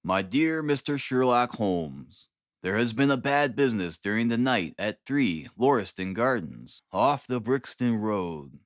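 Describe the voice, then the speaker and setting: A man narrating a classic novel as an audiobook, expressive, with deliberate, dramatic phrasing. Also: on the low side, no echo, clean studio sound.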